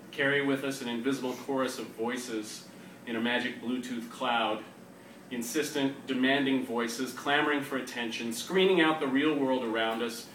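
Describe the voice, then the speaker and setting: A man's voice: mid-range voice, fairly bright tone. A man with a wry, self-deprecating manner, hosting and reading aloud to a live audience. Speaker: co-host of a reading series, poet. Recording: echoey room, distant mic, noisy live audience.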